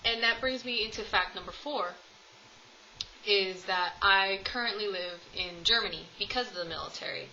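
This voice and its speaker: A woman's voice: medium pitch, moderate pace, pushed and bright, dull audio, a little hiss or hum. A woman in her twenties chatting casually to camera at home, rambling with lots of ums. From United States. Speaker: vlogger. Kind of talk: casual tag video chat. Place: home room, at home with cats.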